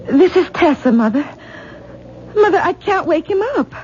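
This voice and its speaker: An actress playing an anxious, distraught young wife in a 1970s radio drama. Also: female voice, extremely high pitch, lively intonation, moderate pace, darkish tone. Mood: agitated, distressed. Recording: dull 1970s radio recording, noisy.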